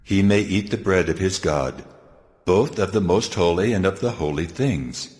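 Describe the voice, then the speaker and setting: Man voicing a deep, echoing, commanding God. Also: moderate pace, a little mellow, natural voice. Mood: solemn, commanding. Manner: solemn, declamatory. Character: God, a solemn commanding male deity. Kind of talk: dramatized scripture reading. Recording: long cavernous reverb, music underneath. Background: music.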